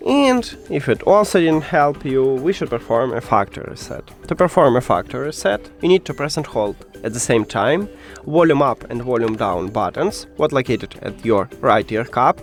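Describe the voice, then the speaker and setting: A man's voice: mid-range voice, sing-song, normal speed, soft background music. A man hosting a step-by-step tech tutorial in an instructional way, with slightly non-native phrasing.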